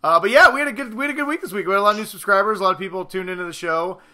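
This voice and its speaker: A man's voice: high-pitched, racing, bright, forceful tone. A man co-hosting a video podcast, joking and teasing his co-host in casual banter. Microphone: video podcast mic.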